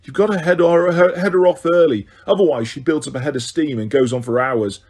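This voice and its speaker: A man's voice: fairly high pitch, fairly quick, a little mellow, husky. A man reading a teasing teenage boy. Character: teenage boy, joking twin, lively.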